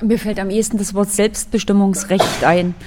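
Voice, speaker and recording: A woman's voice: mid-range voice, steady tone, moderate pace, darkish tone. A woman gives a reflective, articulate interview statement.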